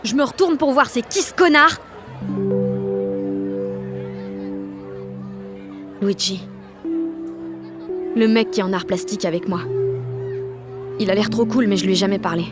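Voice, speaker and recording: A woman's voice: medium pitch, normal speed, long pauses, over loud music, natural voice. An actress playing a brash, lovestruck sixteen-year-old girl, narrating an audio drama in sarcastic, dramatic, slangy speech. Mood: brash, irritated. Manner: slangy, foul-mouthed, self-mocking. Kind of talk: first-person audio fiction narration with acted dialogue.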